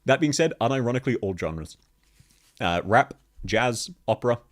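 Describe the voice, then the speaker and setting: Deep bassy guy streaming, chatty, laughs all the time, mic level jumps around as he moves. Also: expressive, normal speed. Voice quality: very deep bass voice. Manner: laughs constantly, chatty, answers chat questions. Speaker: VTuber streamer. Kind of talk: casual live-stream chat with viewers. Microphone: streaming microphone, level shifts as he moves.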